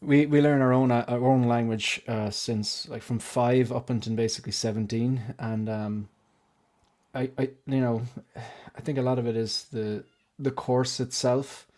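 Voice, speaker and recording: A man's voice: mid-range voice, steady tone, measured pace, a little mellow. Rambling Irish man, casual and swearing. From Ireland. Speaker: co-host. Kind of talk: casual livestream chat.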